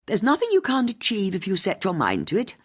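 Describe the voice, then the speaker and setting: A woman voicing a friendly young horsey upper-class woman with a cut-glass English accent, brisk, bright and open, in an audiobook. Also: mid-range voice, huge pitch swings, slightly rough, pitched above the performer's own voice. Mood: cheerful.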